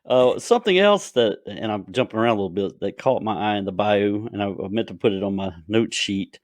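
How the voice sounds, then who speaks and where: A man's voice: fairly low pitch, expressive, a little fast, no room sound. A man hosting a podcast, giving brief reactions and asking loosely worded questions. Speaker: podcast host. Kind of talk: casual interview.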